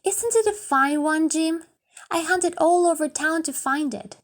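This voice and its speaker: A woman's voice: extremely high pitch, measured pace, pitched above the performer's own voice. A woman voicing an anxious, affectionate young wife in a storybook reading, pleading and emotional in manner. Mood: eager.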